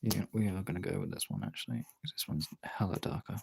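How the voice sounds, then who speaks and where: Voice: low voice, big pitch swings, quick pace. A streamer chatting casually while working on art, thinking aloud in short, informal, sweary remarks. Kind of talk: casual chat while working, muttered self-talk. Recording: clearly noisy, with clicks.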